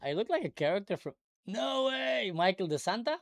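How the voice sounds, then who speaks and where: A man from Israel, an Arabic speaker at heart, hosts a live debate stream. His voice sits a touch high and sounds dry and close to the mic. He questions people calmly and needles them with sarcasm. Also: normal speed, gravelly, no room sound. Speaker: livestream host. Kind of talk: live video chat debate.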